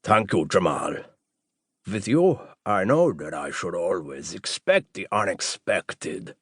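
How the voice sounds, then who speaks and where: A man voicing a wary, formal male doctor, a wealthy conspirator speaking deliberately in an audiobook thriller. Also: low voice, lively intonation, slightly rough, no echo, clean studio sound, full character voice. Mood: measured, formal.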